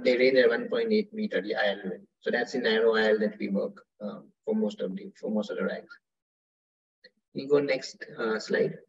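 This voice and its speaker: Husky distant man on a dull call. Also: medium pitch. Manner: casual, explanatory, with fillers. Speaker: logistics company presenter. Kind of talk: webinar presentation.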